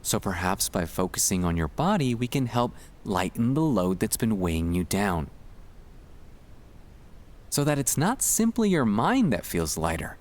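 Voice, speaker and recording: Slow, low-pitched, gentle man guiding relaxation. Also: sing-song. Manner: gentle, soothing, reassuring. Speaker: ASMR sleep-aid guide. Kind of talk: scripted ASMR guided relaxation.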